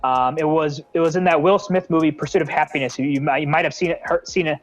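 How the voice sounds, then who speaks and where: A man's voice: on the high side, fairly even intonation, quick pace. A young American man from the Bay Area, a nonprofit founder being interviewed, talking earnestly with many fillers and restarts. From San Francisco Bay Area. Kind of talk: casual interview talk.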